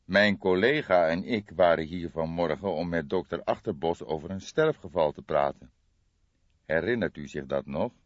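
A probing, kindly police detective in an audiobook, acted by a male narrator, measured and insistent. The voice sits fairly low, with a close, dry and slightly dull sound. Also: no room sound, lightly acted. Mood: calmly probing.

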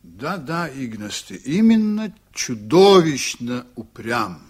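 An actor playing a proud, stubborn, reflective ship's captain in a Soviet radio drama, telling things unhurriedly with dramatic pauses. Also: male voice, mid-range voice, big pitch swings, a little mellow, husky, exaggerated voice.

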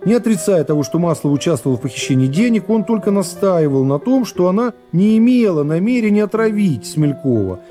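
A man's voice: sits high, big pitch swings, brisk, hardly any pauses, dark tone, faint music behind. A man narrating a classic novel for a radio play in a dramatic literary style.

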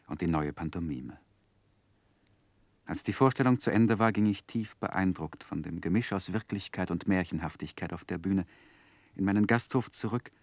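Actor as a deep-voiced, reflective gentleman. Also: dry recording, natural voice. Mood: wry, reflective. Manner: measured, reflective. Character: David Copperfield, earnest, sensitive man recalling his youth. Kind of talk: 1960s German radio drama, literary first-person narration.

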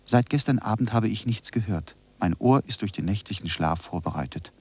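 A man playing a reflective narrator in a scripted radio drama, speaking in measured phrases. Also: fairly low pitch, animated, darkish tone, slightly rough. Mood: quiet, concentrated. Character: reflective male narrator reciting essays and studio notes.

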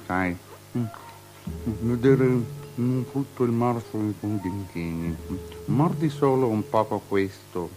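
An actor playing a capricious, lecherous, morbid tetrarch in an avant-garde radio drama, with languid, mannered delivery. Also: male voice, fairly low pitch, poor audio. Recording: radio drama with music behind the voice. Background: music.

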